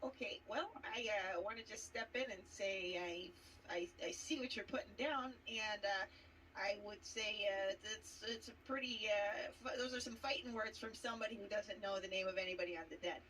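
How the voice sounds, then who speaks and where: A woman's voice: medium pitch, moderate pace, a bit bright. A woman arguing combatively as a team manager in a heated show confrontation, hesitant then emphatic. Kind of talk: heated wrestling-style confrontation. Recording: distant mic, faint noise.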